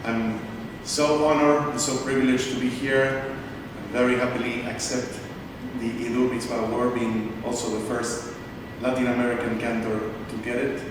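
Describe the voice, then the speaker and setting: Cantor in an echoey hall. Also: male voice, medium pitch, little pitch movement. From Argentina. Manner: heartfelt, grateful, slightly halting. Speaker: cantor accepting an award. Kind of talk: acceptance speech. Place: large synagogue hall. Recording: echoey hall from far off, noisy.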